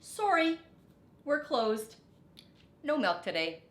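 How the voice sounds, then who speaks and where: A woman voicing politely demanding cows in a picture book, with deliberate, formal phrasing. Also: sits high, sing-song, pitched above the performer's own voice, heavily acted. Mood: curt. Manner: deliberate, formal letter-reading. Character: polite but firm cows writing demands. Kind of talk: picture-book read-aloud. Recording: roomy echo, background noise.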